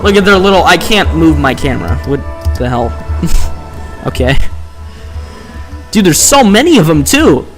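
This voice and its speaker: A man's voice: on the high side, very animated, normal speed, pauses often, soft background music. A man streaming a video game, chatting casually and scolding the game as he plays. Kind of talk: casual gameplay commentary.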